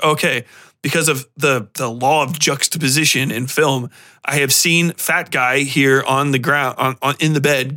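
A man with a slightly high, forceful voice talks as a podcast host. He delivers casual film commentary as an animated, opinionated rant. His language is slangy and full of swearing. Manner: profane, slangy.

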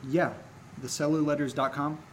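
A man's voice: fairly high pitch, steady tone, normal speed, slight background noise, natural voice. An actor playing an earnest, exasperated man set on investigating, in a naturalistic horror audio drama. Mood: earnest, defensive.

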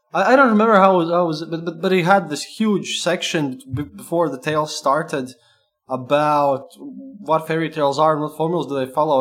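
Male podcast host, higher voice, animated, chatty and restart-prone. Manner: animated, full of restarts and fillers. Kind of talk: casual podcast interview.

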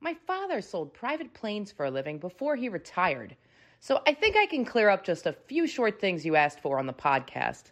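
A woman giving an expressive, performed reading of a casual listener email as a guest. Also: mid-range voice, moderate pace.

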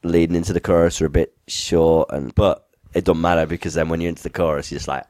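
Croaky low-voiced man chatting. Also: flat delivery, fast, a little mellow, dry recording. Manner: enthusiastic, rambling with restarts. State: croaky from illness. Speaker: podcast co-host. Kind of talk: casual chat.

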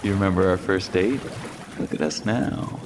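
A man's voice: low-pitched, normal speed. A man playing a wry, suspicious lover on the run in an audio fiction drama. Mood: fond, playful. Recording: reverberant, clearly noisy ambient sound scene.

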